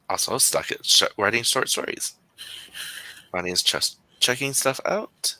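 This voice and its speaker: A man's voice: mid-range voice, measured pace, sharp tone, dry recording, low-quality recording. A man hosting a casual writing livestream, reading out viewer comments and chatting with laughs and self-interruptions. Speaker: host of a writing sprint stream.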